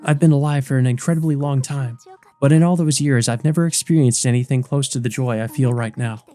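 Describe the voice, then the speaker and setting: A man's voice: mid-range voice, warm tone, natural voice. Man reading as a faint, dying ancient vampire woman. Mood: faintly happy. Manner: faint, halting delivery. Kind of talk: visual novel character reading.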